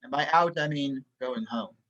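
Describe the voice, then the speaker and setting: A man playing a stern, dry-witted spy instructor in an amateur table read, crisp and matter-of-fact. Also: mid-range voice, moderate pace, bright, forceful tone, lo-fi sound, lightly acted. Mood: brisk, stern.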